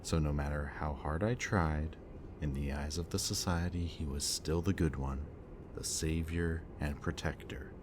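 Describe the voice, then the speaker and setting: A man playing a very deep-voiced remorseful villain. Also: a little slow. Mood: bitter. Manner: low, intimate, confessional delivery with dropping whispers. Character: remorseful bitter male villain, young adult. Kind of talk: audio roleplay addressed to the listener. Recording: steady ambient background noise.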